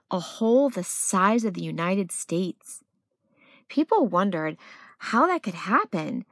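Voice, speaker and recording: Female picture-book narrator, unhurried and expressive, slightly husky, close dry sound. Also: medium pitch, no echo. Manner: deliberate phrasing with dramatic pauses. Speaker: storyteller reading a children's picture book aloud. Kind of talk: expressive read-aloud narration.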